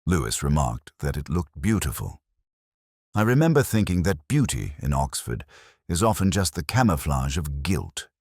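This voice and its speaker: A man reading scripted literary detective fiction as narrator, in a measured, formal and brooding manner. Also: extremely low pitch, sing-song, darkish tone, breathy edge, no room sound, studio quality.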